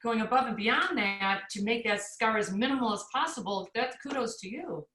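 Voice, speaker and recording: A woman's voice: on the high side, normal speed, bright, forceful tone. A woman hosting a podcast interview, encouraging and enthusiastic toward her guest. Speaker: podcast host. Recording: echoey room.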